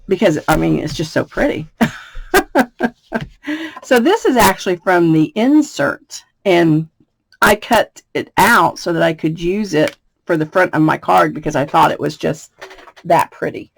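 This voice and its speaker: A woman from the United States with a slightly raspy voice, chatting through a card-making tutorial and laughing between steps. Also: medium pitch, no room sound, rough recording. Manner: chatty, laughs often, thinks aloud. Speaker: craft demonstrator. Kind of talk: casual craft tutorial.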